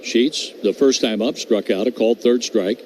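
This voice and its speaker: A man's voice: medium pitch, a little hiss or hum. Man calling baseball play-by-play. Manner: brisk, steady calls that lift on hits. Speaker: radio play-by-play announcer.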